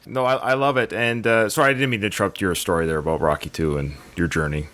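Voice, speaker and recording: Man, lowish voice, podcast host, casual conversational film commentary. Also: steady tone, fast, fairly bright tone.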